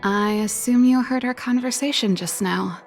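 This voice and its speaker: Creaky-voiced wistful woman inventor played by an actress, music behind. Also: fairly high pitch, moderate pace, close to an everyday voice. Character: grown woman inventor and guitarist, wistful, casual, guarded. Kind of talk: video game voice acting. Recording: loud music over the voice.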